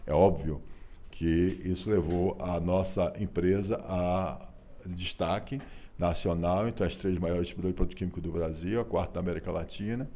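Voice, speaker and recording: A Brazilian man, telling his family story in a relaxed interview, folksy and repetitive with frequent rhetorical tags. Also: low-pitched, normal speed. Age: 60s. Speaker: interview guest, author and businessman.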